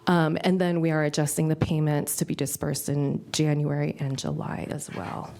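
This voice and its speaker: Woman, lowish voice, scratchy from a cold, upbeat earnest presenter, podium mic in a council chamber. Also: flat delivery, normal speed, vocal fry, dark tone. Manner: upbeat, earnest pitch with frequent ums. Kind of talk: public presentation at a council meeting.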